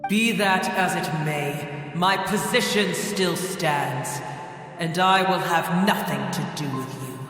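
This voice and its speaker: A man voicing an ancient, proud dragon in a game, weary and scornful, speaking in a grave, measured way. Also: a little high, a bit bright. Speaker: game character. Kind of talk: game voice acting. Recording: echoey hall reverb.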